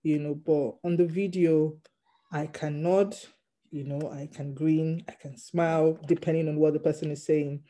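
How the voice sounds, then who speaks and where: A non-native English speaker, a doctor in training, talks through interview answers slowly, pausing often with ums. The voice is very dark and mellow, with a notably flat melody. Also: mid-range voice. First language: not English. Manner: hesitant, frequent ums, measured. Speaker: GP trainee interviewed about passing an exam.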